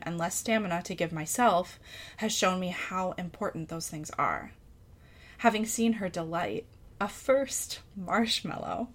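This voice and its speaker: Reflective woman reading a personal essay. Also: fairly low pitch. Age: adult parent of a young child. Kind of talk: personal essay read aloud with casual asides.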